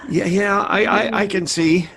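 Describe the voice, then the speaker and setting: A harried, well-meaning middle-aged dad in a radio sitcom. His voice is high for a man and stumbles over its words, with clear noise behind it. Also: a little slow. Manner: stammering, harried. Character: flustered, well-meaning family father.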